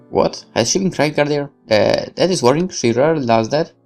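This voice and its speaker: Creaky-voiced gamer reading a story aloud. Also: medium pitch, darkish tone. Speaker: let's player reading a visual novel aloud. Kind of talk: expressive read-aloud narration with casual asides.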